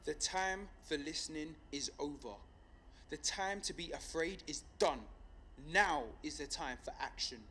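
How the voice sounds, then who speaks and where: A man playing an earnest pirate radio broadcaster, speaking deliberately in a solemn, rhetorical monologue for an audio drama. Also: fairly high pitch, pushed and bright, a little hiss or hum. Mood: solemn farewell. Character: earnest male pirate radio broadcaster rallying a city to revolt.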